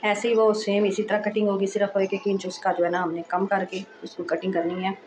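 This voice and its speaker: A woman hosting a sewing tutorial, speaking in a casual, reassuring way while she explains each step, with a hoarse voice from a sore throat. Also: mid-range voice, flat delivery, fairly quick, a little mellow, slight background noise, rough recording. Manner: chatty and reassuring, frequent "accha ji".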